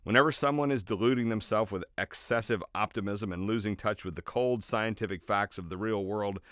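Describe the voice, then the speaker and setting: A man reading his own nonfiction book as scripted narration, in a measured, expressive storytelling way with emphatic phrasing. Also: on the low side, no echo, studio quality. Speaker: author reading own audiobook.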